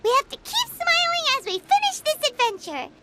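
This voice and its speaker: A woman voicing a small, childlike fairy companion in a video game, squeaky, perky and earnest. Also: very animated, fairly quick, heavy background noise. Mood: earnest, hopeful. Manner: perky, exclamatory. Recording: loud game music over the voice.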